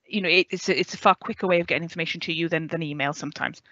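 Welsh woman in her 30s on a headset call, dry dull sound, explains things clearly, keeps saying okay. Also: on the low side, rapid-fire, sharp tone, no echo. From West Wales. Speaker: student adviser. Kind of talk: online orientation talk with questions. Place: home room with a window.

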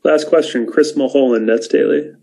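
A man's voice: a little high, moderate pace, creaky, dark tone. A male moderator briefly announcing each reporter and outlet at a press conference.

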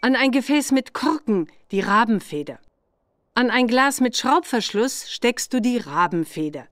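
A woman playing an older witch examiner who gives patient, deliberate instructions in a children's game. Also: fairly high pitch, lively intonation, no echo. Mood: patient, instructive.

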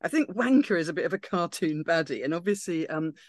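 A woman's voice: sits low, expressive, fast talker, no room sound. A woman giving hesitant, thoughtful feedback on a video call from home, with many ums and you knows. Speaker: script reading panelist. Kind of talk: casual video-call discussion. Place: home room.

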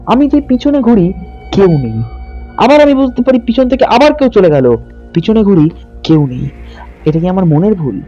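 A young man tells a ghost story in the first person. His voice is high for a man yet mellow in tone. He speaks conversationally with suspenseful pauses, over a clear bed of music. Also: muffled recording. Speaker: horror story narrator. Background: background music.

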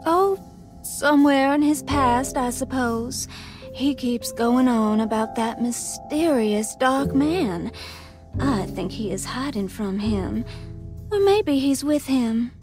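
A woman's voice: sits high, lively intonation, slow pace, over music. A woman playing a jaded, flirtatious society lady of the 1920s in a video game, wry and theatrical. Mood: languid musing. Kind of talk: video game cutscene dialogue.

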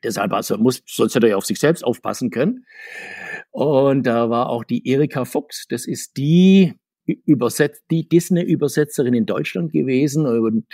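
A man's voice: medium pitch, lively intonation, normal speed, mellow, breathy edge, no room sound, studio quality. A middle-aged man telling anecdotes as an interview guest, chatty and digressive, often restarting his sentences. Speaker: podcast guest, comics author.

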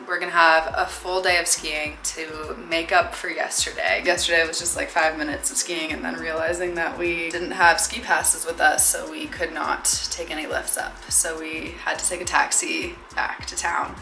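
A woman's voice: lowish voice, sharp tone, low-quality recording. A young woman vlogging on a ski trip, talking upbeat and gushing into a handheld camera at arm's length, often laughing and saying "like" and "literally". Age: young adult. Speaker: travel vlogger. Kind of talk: casual vlog narration to camera. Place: hotel room, balcony and ski slopes, in and out of doors.

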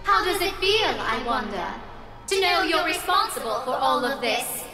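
A woman voicing a mocking female monster in a horror visual novel, taunting and sneering with drawn-out jeers. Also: sits high, sing-song. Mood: cruel mockery. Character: mocking female monster speaking from mirror shards. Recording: echoing, distant, long reverb, music behind.

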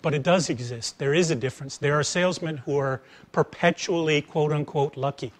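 A middle-aged American man giving a conversational lecture, telling stories in an animated way and asking rhetorical questions. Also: fairly high pitch, a little fast. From United States. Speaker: speaker giving a talk, former engineer turned sales trainer. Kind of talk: conversational public lecture with acted-out anecdotes. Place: attic seminar room with wooden floor. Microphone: lapel mic.

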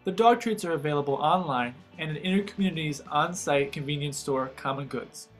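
A man's voice: fairly high pitch, brisk. A man reading a scripted voice-over in an informative, read-aloud way. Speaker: narrator. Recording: voice-over with faint background guitar.

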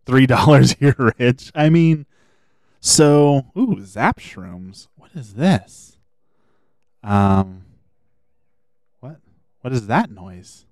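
A man streaming a video game, chatting casually and thinking aloud as he plays, his voice a little hoarse. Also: mid-range voice, lively intonation, very slow, long pauses, a little mellow, no room sound, clean studio sound. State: slightly hoarse. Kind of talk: casual gameplay commentary.

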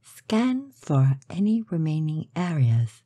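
A woman narrating a scripted guided meditation in a soothing, measured, breathy way, with soft delivery and pauses. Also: low-pitched, sing-song, no room sound.

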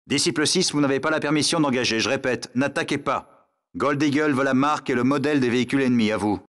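An actor voicing a stern male command operator in a video game, formal and measured over the radio. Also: medium pitch, fairly bright tone. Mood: stern, businesslike. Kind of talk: scripted military radio chatter.

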